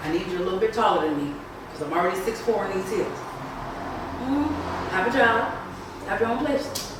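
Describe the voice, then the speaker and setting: A woman's voice: medium pitch, normal speed. Sassy, evasive woman on a distant mic. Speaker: dating show contestant. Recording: echoey room, off-mic, background noise.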